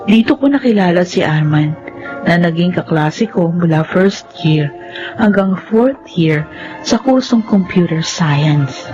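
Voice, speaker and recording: A woman's voice: fairly low pitch, lo-fi sound, lightly acted. An actress playing a respectful young woman in a Filipino radio drama, reflective and polite, with a Filipino accent. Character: gentle, respectful young woman from poor family. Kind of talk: radio drama acting and first-person narration.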